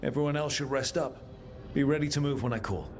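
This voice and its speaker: A man's voice: medium pitch, a little fast, noisy background, close to an everyday voice. A man playing an earnest, resolute young leader of heroes in a fantasy game. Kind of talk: dramatic video game acting.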